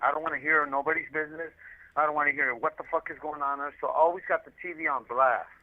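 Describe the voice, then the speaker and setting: Guy rambling on a bad phone connection, kinda high voice, slangy, repeats himself a lot. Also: steady tone, fast. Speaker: incarcerated guest calling in by collect call. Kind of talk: casual chat, rambling anecdotes. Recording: muffled, tinny prison phone line.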